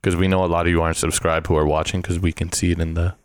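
A man's voice: low voice, level tone, racing, dry recording, studio quality. A man co-hosting a casual podcast and cracking playful jokes. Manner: joking, playful asides. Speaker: podcast co-host.

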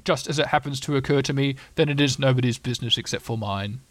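A man voicing a gloomy, dignified male donkey in a storybook, with slow, cold, deadpan sarcasm. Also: medium pitch, no echo, close to an everyday voice. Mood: dry, sarcastic.

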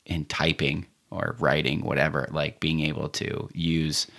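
Casting: low-pitched American guy, loose rambling podcast talker, curses freely. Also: unhurried, creaky. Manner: rambling, swearing, animated retellings. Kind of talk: casual chat.

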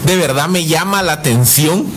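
A man's voice: a little high, moderate pace, background noise. A man co-hosting a casual chat, telling stories animatedly and dropping in frequent "ajá" replies. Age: 30s. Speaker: co-host.

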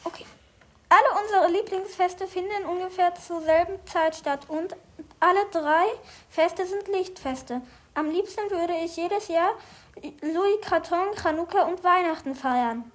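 An eager young boy, acted by a woman in a children's audio play. The voice is extremely high, and the delivery is careful and explanatory, like reading out a script. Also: a little slow.

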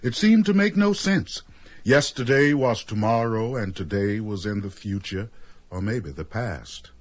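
A man's voice: lowish voice, expressive, a little slow. A man reading dramatic scripted narration for a radio play.

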